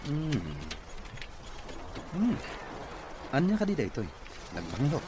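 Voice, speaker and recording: A man's voice: fairly high pitch, huge pitch swings, takes it slowly, full character voice. A man playing a businesslike company boss in an Ilocano radio comedy-drama. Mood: curious, savouring. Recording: noisy radio drama recording.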